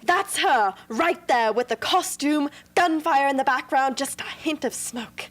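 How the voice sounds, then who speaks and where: A woman playing a brisk, image-obsessed film director in a radio play, theatrical and condescending. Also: high voice, no echo. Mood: visionary. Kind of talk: amateur radio drama acting.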